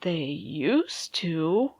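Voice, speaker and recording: A woman playing an anxious, wistful middle-aged mother in a radio play, speaking hesitantly with brooding pauses. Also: lowish voice, very animated, darkish tone, slightly rough, dry recording, heavily acted. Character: anxious, wistful mother isolated in a threatened world.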